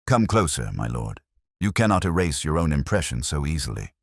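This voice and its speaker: A man voicing a shrewd, calmly relentless detective in an audiobook mystery, speaking in formal, measured, incisive phrases. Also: extremely low pitch, no room sound, clean studio sound, heavily acted. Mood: quietly accusing.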